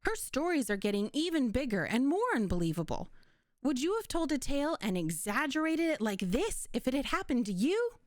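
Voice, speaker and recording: A woman's voice: high-pitched, very animated, a little fast, dry recording, clean studio sound, voice pushed up. A woman voicing a chirpy young female storytime guide who chats to child listeners and asks them questions. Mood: playful, curious. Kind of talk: children's read-along hosting.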